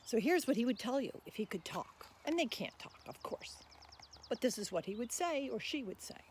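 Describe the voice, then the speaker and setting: High-pitched, animated woman reading aloud. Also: normal speed, slight background noise. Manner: expressive, explanatory. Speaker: picture-book reader. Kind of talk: read-aloud for children with explanatory asides.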